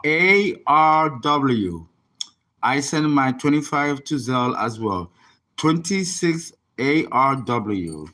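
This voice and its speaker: A man's voice: medium pitch, fairly bright tone. A man, an astrologer and tarot reader, chatting casually on a livestream and rambling as he reads viewer comments aloud.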